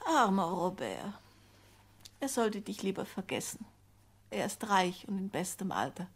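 A woman playing a domineering, spiteful old mother in a radio play, sharp, needling and commanding. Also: mid-range voice, very animated, measured pace, slightly rough, exaggerated voice. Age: older.

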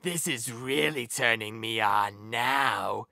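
A man's voice: medium pitch, takes it slowly, gravelly, pushed and bright, no echo, clean studio sound, voice pushed down, heavily acted. A man voicing a creepy, lecherous hero parody in a cartoon voice audition. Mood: leering.

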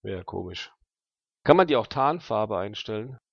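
Male tabletop role-player, gravelly, casual slang, halting delivery, dry and slightly dull recording. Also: lowish voice, lively intonation, no room sound. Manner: halting, thinking aloud. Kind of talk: casual slangy in-character dialogue.

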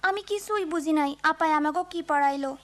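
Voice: high voice, quick pace, lightly acted. A performer voicing an eager, curious village schoolgirl in a cartoon, earnest and outspoken, with a rural Bangladeshi accent. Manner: lively, earnest. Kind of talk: cartoon character voice. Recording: music and jingles behind the voice.

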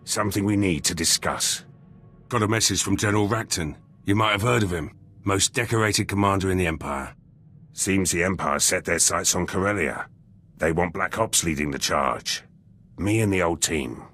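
An actor playing a blunt, battle-hungry special forces soldier in a video game, gruff and husky, speaking in clipped, terse sentences. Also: male voice, low-pitched, moderate pace, a bit bright. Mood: proud, businesslike. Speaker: soldier companion character. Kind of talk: video game dialogue acting. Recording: music under the voice.

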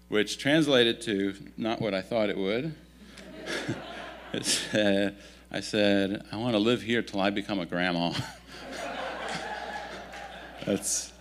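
A man in his thirties who grew up in Italy, giving a relaxed, self-deprecating missionary update in a church at a stand mic. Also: fairly low pitch, slow pace, long pauses, background noise. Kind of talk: casual storytelling talk to a congregation. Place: church sanctuary, indoors. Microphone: stand microphone.

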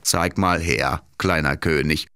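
A man playing a skeptical, nagging narrator and companion in a children's cartoon, expressive, teasing and admonishing. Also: a bass voice, slow pace, slight background noise, heavily acted.

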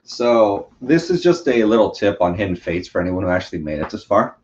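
A man's voice: lowish voice, big pitch swings, a little fast, darkish tone. A man in his twenties chatting casually as a podcast guest, explaining things in everyday language. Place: living room couch, indoors. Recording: slightly muffled video-call audio.

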